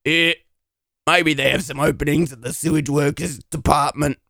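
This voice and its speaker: A gravelly, brash Australian bum, played by a man in a comedy audio drama. He brags and swears in a slightly high voice, recorded dry and close. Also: fairly even intonation, normal speed, pushed and bright, no room sound. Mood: cheerful. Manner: brash, swearing, says mate. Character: crude boastful Australian male bum.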